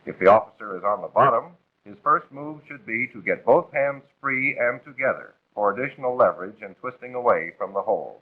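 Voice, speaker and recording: A man's voice: medium pitch, expressive, a little fast, hardly any pauses, slightly rough. An American man narrating a 1940s instructional film from a script in a formal, authoritative, instructive manner. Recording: muffled, phone-like vintage film soundtrack.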